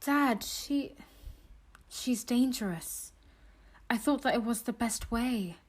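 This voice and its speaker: A woman playing a desperate, defiant young time traveller in an audio drama, speaking emotionally and haltingly. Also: fairly high pitch. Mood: grieving, helpless.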